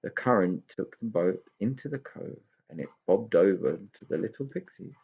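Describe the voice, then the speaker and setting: Man reading a kids story, creaky husky voice, slightly dull recording. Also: lowish voice, animated, normal speed. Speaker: storyteller, parent reading to their children. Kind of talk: expressive children's story reading.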